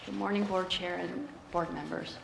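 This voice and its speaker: Female staff presenter, raspy and sick-sounding, measured formal delivery with hesitations, noisy reverberant room recording. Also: fairly low pitch, steady tone. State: coming down with a cold, throat-clearing. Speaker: staff presenter at a public board meeting. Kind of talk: formal briefing to a board. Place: indoor board meeting room. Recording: echoey meeting room with clear background noise.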